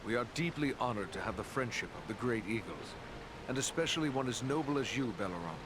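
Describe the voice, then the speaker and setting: A man's voice: medium pitch, animated, fast talker, lightly acted. Man as earnest fantasy hero, noisy ambience. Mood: earnest, respectful. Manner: formal, sincere. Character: earnest, respectful male hero on a quest. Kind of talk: dramatic fantasy game acting.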